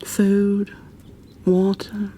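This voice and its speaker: A woman playing a gentle, shy, bookish bookkeeper in an ASMR roleplay, soft-spoken and half-whispering, with a hesitant, apologetic way of talking. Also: medium pitch, completely flat delivery, background noise. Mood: caring. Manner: hesitant, apologetic, halting, careful. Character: gentle, shy, bookish female creature bookkeeper, peace-loving and kindly.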